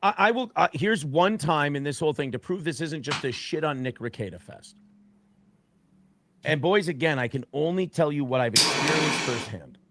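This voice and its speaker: A man's voice: fairly high pitch, lively intonation, normal speed, background noise. A man in a played clip telling a story he heard firsthand, repeating and correcting himself as he goes. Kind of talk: casual stream talk.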